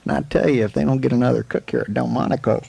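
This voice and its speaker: A man playing a folksy, jokey, aging frontier town doctor in a western radio drama, with a rambling, stammering delivery and a gravelly voice. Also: mid-range voice, fairly even intonation, very fast, mellow. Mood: amused. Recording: faint noise, old 1984 amateur recording.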